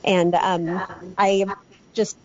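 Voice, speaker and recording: A woman with a husky, hoarse voice from being sick, telling a story as a podcast guest in a chatty, self-mocking way. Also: medium pitch, flat delivery, very slow. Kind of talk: casual storytelling. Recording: dull lo-fi with crowd noise.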